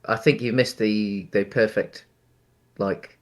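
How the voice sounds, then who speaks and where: Low-voiced man in casual discussion. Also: steady tone, takes it slowly. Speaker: podcast co-host.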